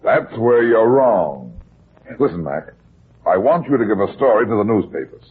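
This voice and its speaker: A man's voice: lowish voice, very animated, moderate pace, slight background noise. An actor playing a shrewd, unruffled male private detective in an old-time radio drama, firing terse, probing questions. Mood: calm, calculating. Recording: muffled, tinny old radio recording.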